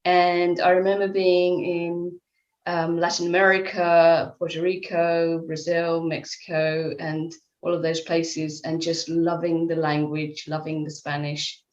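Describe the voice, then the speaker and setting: A woman's voice: lowish voice, monotone, a little slow. A middle-aged woman from England, answering interview questions in a reflective, conversational way, often restarting her sentences. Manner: reflective, with frequent restarts and soft laughs. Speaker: author and life coach guest. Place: at home in Spain, remote call. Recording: echoey room, slightly dull line.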